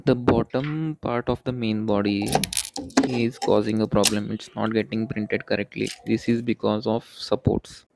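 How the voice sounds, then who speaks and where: A man's voice: lowish voice, steady tone, unhurried, vocal fry, noisy background. A man narrating a maker project as an explanatory voice-over, in a plain, matter-of-fact way.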